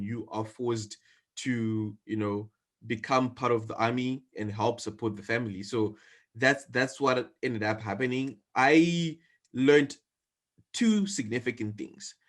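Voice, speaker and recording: A man's voice: mid-range voice, unhurried. A young man from Zimbabwe in his twenties, a guest telling his story earnestly in an interview over a video call. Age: 20s. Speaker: guest entrepreneur. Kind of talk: interview answers.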